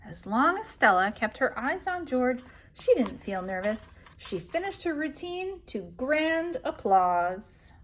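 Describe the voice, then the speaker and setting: A woman reading children's bedtime stories aloud as a library story-time host, in an animated, expressive manner. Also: high-pitched, takes it slowly, hardly any pauses, slight background noise. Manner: animated, asks the young listeners questions.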